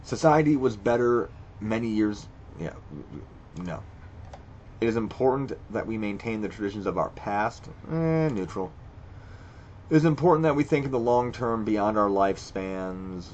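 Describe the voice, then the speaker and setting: A man's voice: fairly low pitch, moderate pace, pauses often, darkish tone, highs cut off, slight background noise. A man reading quiz questions aloud and reacting to each one, blunt and profane, thinking aloud with stammered restarts. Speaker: video creator. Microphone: screen recording with voice-over.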